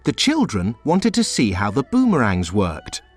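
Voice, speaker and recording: A man reading a children's storybook aloud in a slow, very expressive storytelling way. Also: mid-range voice, faint music behind. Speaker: storybook reader. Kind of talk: children's read-aloud narration.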